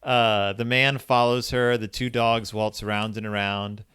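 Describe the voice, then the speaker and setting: A man hosting a casual book discussion, informal and colloquial, retelling and quoting a story in an animated way. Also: fairly low pitch, unhurried, clear, resonant tone, no room sound, studio quality. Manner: animated, acts out quoted dialogue. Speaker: podcast host.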